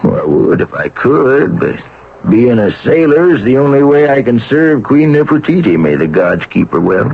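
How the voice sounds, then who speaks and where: A man's voice: medium pitch, big pitch swings, moderate pace, creaky, narrow, tinny audio. An actor playing a boastful, suspicious Egyptian sailor in a 1970s radio drama, with folksy, blustering working-man talk. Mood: wistful, loyal. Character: gruff, boastful, suspicious Egyptian sailor devoted to his queen. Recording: band-limited, noisy old radio recording.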